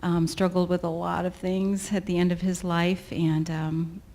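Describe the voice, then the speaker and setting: A woman in a congregation sharing a family remembrance, soft-spoken and hesitant. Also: lowish voice, little pitch movement, a little slow. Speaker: congregation member. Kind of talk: sharing at a church service.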